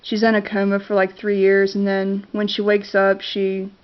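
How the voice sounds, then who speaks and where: A woman's voice: mid-range voice, completely flat delivery, moderate pace. A congested woman with a cold talks casually to her camera about movies she loves, hesitant and full of fillers. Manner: hesitant, many fillers and restarts. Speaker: vlogger reviewing favorite movies. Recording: dull lo-fi camera audio.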